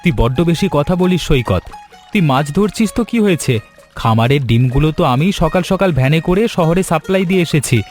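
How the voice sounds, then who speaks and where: A man's voice: on the high side, lively intonation, brisk, a little mellow, faint music behind, lightly acted. A man voicing a supportive, brotherly young village man in a cartoon drama. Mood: teasing. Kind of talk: cartoon voice acting.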